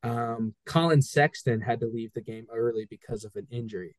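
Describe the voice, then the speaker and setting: A man's voice: mid-range voice, normal speed, no echo. A man co-hosting a casual sports podcast, eagerly walking through a game's final play. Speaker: guest co-host.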